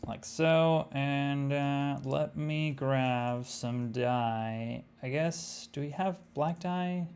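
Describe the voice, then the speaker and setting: Gravelly Argentine-accented man streaming games. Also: mid-range voice, very slow. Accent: Argentine Spanish. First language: Spanish. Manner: relaxed, thinking aloud, many fillers. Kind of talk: live-streamed gameplay chat.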